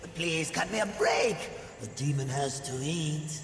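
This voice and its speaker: A man playing a wheedling, flattering demon merchant in a video game, with an oily, theatrical delivery and creaky voice. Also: on the high side, measured pace, background noise. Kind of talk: dramatic video game character acting. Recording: long echoing reverb under music.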